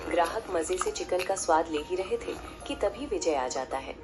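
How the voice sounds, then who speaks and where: A woman narrates a scripted cartoon tale as storyteller. Her voice is on the low side, with music clearly playing behind her. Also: animated, moderate pace.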